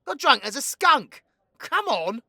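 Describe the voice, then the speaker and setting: A man's voice: extremely high pitch, sing-song, a little slow, sharp tone, no echo, clean studio sound, exaggerated voice. A British man playing a cocky, foul-mouthed, brawling supervillain in an audio roleplay, mocking and crude. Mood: crude taunting. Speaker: voice actor.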